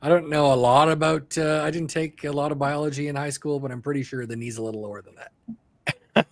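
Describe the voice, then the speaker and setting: A man's voice: on the high side, steady tone, quick pace, dry recording. A man co-hosting a sports podcast with casual, wry, joking banter. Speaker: podcast co-host.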